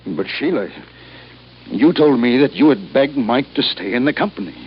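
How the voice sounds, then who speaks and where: Actor as indignant, stiff old patriarch. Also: male voice, mid-range voice, expressive, normal speed, close to an everyday voice. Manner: stiff, formal, affronted. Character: indignant, proud older father and business owner. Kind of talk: 1950s radio drama acting. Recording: dull old radio recording, faint noise.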